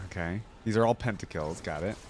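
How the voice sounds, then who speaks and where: A man's voice: deep voice, a little fast, over music. A man hosting a reaction video with casual, conversational commentary.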